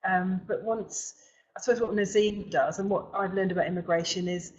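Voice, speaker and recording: A middle-aged woman hosting an informal webinar, speaking haltingly with frequent pauses mid-sentence. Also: mid-range voice, steady tone, a little mellow. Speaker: webinar host for a recruitment company. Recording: dull, lo-fi webinar audio.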